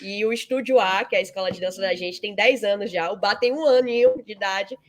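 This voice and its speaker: Woman, thirties, medium pitch, conversational interview guest, explanatory, frequent tag questions. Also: fairly quick, a little hiss or hum. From Salvador, Bahia, Brazil. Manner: frequent 'né' tags, explanatory. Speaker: interview guest, fintech founder and CEO.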